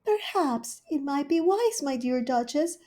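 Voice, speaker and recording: Woman playing a prim fussy cat hostess, very high and fluttery, storybook. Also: animated, unhurried. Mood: solicitous. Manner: solicitous and prim, sharp when annoyed. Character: fussy, kindly female cat hostess, quick-tempered.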